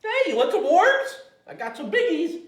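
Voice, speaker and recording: A man's voice: extremely high pitch, sing-song, normal speed. A man playing a cantankerous, foul-mouthed Canadian bait shop owner in a comedic table read. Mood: gruffly jovial. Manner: profane, cantankerous, barking insults. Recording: echoey room, distant from mic.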